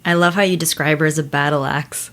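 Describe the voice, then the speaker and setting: A woman's voice: low-pitched, fairly even intonation, fast, fairly bright tone, dry recording, clean studio sound. A woman co-hosting a podcast in a conversational style. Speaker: podcast co-host.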